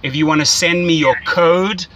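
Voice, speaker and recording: A man's voice: fairly high pitch, fairly even intonation, moderate pace, bright, forceful tone. A man giving casual, enthusiastic, salesy coaching as a rideshare mentor, often checking in with "right?". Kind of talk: casual coaching phone call.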